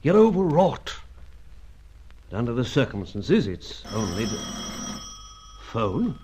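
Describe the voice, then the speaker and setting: An actor playing a stuffy older gentleman spy chief in a radio serial, blustering and hesitant with polite, stammering speech. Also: medium pitch, sing-song, slow, a little hiss or hum. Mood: fussy, composed. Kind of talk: old-fashioned radio spy serial acting.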